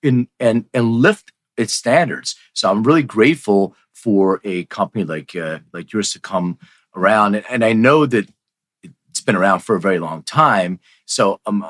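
A man's voice: lowish voice, a bit bright, no echo. A man hosting a podcast in a casual, rambling conversational interview style. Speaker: podcast host.

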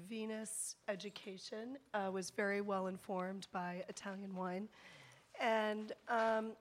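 A woman speaks as a panelist at a wine event, her voice still recovering from having been lost. It comes out soft and a little rough as she talks about her background. Her pitch sits in an ordinary middle range. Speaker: winemaker panelist. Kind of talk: panel talk.

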